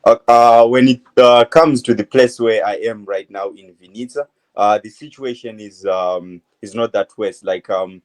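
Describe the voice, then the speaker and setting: A man's voice: lowish voice, steady tone. Hesitant young man from Zimbabwe. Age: 20s. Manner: hesitant, many uh fillers, halting phrases. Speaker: interviewee, medical student. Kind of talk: phone interview.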